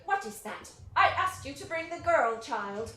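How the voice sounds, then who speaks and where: A woman's voice: sits high, lively intonation, normal speed, sharp tone. A woman playing a precocious, formal orphan boy in a theatrical play reading, with a pompous, grandiose, bookish delivery. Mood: haughty, formal. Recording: roomy sound with faint noise.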